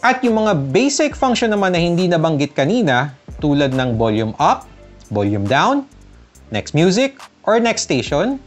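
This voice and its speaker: A woman's voice: fairly low pitch, big pitch swings, measured pace, soft background music. A Filipino woman vlogger narrating a casual step-by-step tutorial. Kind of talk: tutorial narration mixing casual and instructional talk.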